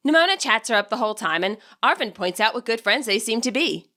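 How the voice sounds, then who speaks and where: A woman narrates a scripted story in an expressive audiobook style. Her voice is fairly high and bright. Her intonation moves around a lot, keeping the reading animated. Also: quick pace. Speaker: audiobook narrator and fan fiction author.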